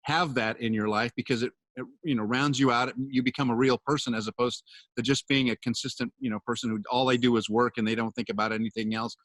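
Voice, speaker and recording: A man's voice: mid-range voice, fairly even intonation, quick pace, a bit bright, dry recording, poor audio. A man hosting an interview, asking long, effusive questions that ramble and restart. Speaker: interviewer, host. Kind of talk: conversational interview.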